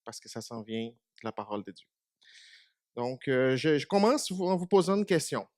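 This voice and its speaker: A man's voice: mid-range voice, big pitch swings, moderate pace, dry recording, clean studio sound. A man whose first language is Portuguese, giving a short church meditation in a small congregation and asking them questions. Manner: conversational, asks the congregation questions. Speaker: preacher.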